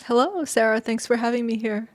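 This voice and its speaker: A woman's voice: on the high side, fairly quick. A middle-aged woman from China who grew up partly in the US, an academic telling her story conversationally as an interview guest. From born in China, moved to the US at eight. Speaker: podcast guest, sociology professor.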